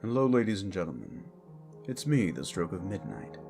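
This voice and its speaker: A man's voice: low voice, sing-song, normal speed, gravelly, darkish tone, soft background music. A man giving a casual, informal update to listeners as an audio roleplay creator.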